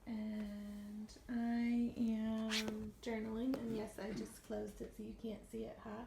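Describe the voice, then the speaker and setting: A woman's voice: fairly high pitch, flat delivery, slow pace, gravelly, noisy background. A woman chatting casually in a playful family vlog. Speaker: family vlogger.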